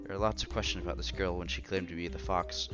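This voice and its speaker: A man reading story narration aloud with expressive, theatrical reactions as he hosts a visual novel playthrough. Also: deep voice, quick pace, over music.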